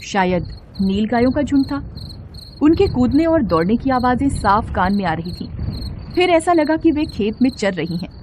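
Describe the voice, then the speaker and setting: A woman narrating a literary story as an expressive scripted reading. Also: on the high side, moderate pace. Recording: steady insect-like background noise.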